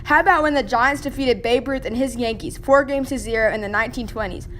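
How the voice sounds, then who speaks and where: Female host, high voice, scripted 1920s radio announcing, period slang, faint background noise. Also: fast talker, fairly bright tone.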